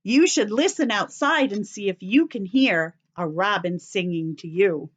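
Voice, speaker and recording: An American woman reading aloud to young children like a teacher, expressive and sing-song, addressing them with questions. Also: mid-range voice, a little slow, highs cut off. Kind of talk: children's story read-aloud.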